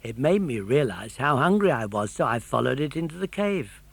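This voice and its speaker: A man's voice: mid-range voice, sing-song, normal speed, gravelly, breathy edge, dry recording, heavily acted. A man voicing a large, friendly, grateful dog in a storybook, frank and hearty. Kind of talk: audiobook character voice.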